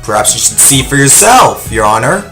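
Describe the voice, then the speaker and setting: A man's voice: mid-range voice, big pitch swings, moderate pace, vocal fry, bright, forceful tone, heavily acted. A man playing a confident young prosecutor with a polished, teasing manner in a video game fandub, with music behind. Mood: smug, assured. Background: prominent music.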